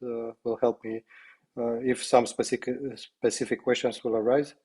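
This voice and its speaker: A slightly hoarse man speaking on a video call as a company co-founder, opening a webinar presentation in a halting, modest way. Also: medium pitch, level tone, darkish tone. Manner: halting, self-deprecating.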